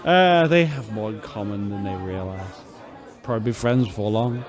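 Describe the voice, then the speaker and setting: An actor playing a self-doubting, poetic spaceship captain in a sci-fi radio drama, rambling theatrically. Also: male voice, on the low side, moderate pace, a faint crowd, close to an everyday voice. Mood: musing.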